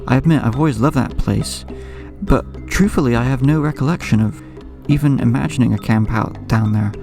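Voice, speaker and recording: Man playing a haunted, creaky-voiced young horror narrator. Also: medium pitch, close to an everyday voice. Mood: uneasy, reflective. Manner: brooding, ornate, measured storytelling. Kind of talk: scripted first-person horror audio drama narration. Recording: music bed under the voice. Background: clear background music.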